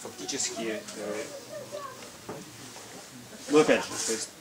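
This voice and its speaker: A young man giving an informal lecture as an instructor, halting a little as he thinks aloud. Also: fairly low pitch. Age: young adult. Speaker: seminar lecturer, parachute instructor. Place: indoor equipment hangar with small audience. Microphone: camera some metres away. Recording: echoey room, distant mic, group noise.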